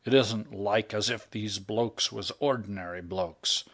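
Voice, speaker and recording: A man's voice: fairly low pitch, measured pace, a bit bright. A man voicing a shy, blunt burglar speaking in plain, common speech.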